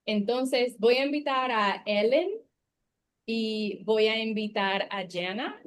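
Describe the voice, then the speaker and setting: A woman moderating a panel, speaking in a soft, thoughtful way. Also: on the high side, slow pace.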